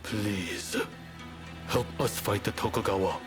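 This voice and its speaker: An actor playing a resolute, earnest samurai rebel leader in a dramatic video game cutscene. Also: male voice, on the low side, slow, music in the background. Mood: resolved, grave.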